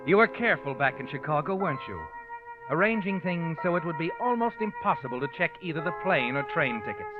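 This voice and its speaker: Sinister old-radio narrator played by a man, swooping pitch. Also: lightly acted. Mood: mocking, ominous. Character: sinister omniscient male narrator, knowing and mocking. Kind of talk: 1940s radio suspense narration in second person. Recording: dull lo-fi old radio recording. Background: dramatic music.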